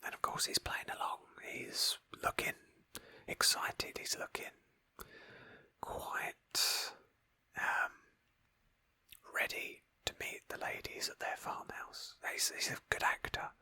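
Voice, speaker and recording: Whispering woman narrating ASMR gameplay. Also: slow pace. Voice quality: breathy, hushed whisper. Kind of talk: whispered ASMR roleplay narration of a video game.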